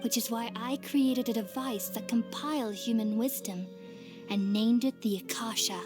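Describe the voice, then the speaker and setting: A woman voicing a gentle, wise and sorrowful ancient goddess in an anime fantasy game, speaking in formal, measured phrases. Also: on the high side, fairly even intonation, music in the background, natural voice. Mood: solemn, regretful.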